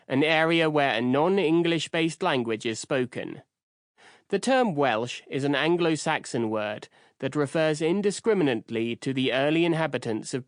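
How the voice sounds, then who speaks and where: A man's voice: medium pitch, no echo. Man reading, dry close sound. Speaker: audiobook narrator. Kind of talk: scripted nonfiction narration.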